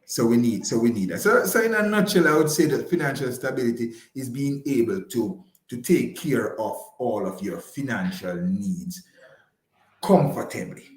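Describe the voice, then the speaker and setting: A man's voice: medium pitch, expressive, roomy sound. A man speaking as a guest financial advisor in a conversational interview, often repeating words as he forms his points. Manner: halting, repeats words while forming points.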